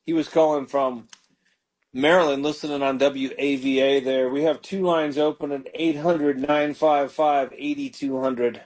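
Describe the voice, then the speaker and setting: A man's voice: on the high side, steady tone, a little slow, a little mellow. A man, a pastor hosting a live call-in radio show, leading callers in fervent prayer.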